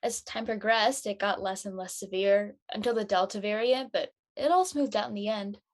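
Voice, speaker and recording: A woman playing a calm middle-aged mother recalling her youth in a drama reading, explaining matter-of-factly. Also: mid-range voice, moderate pace, close to an everyday voice. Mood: reflective.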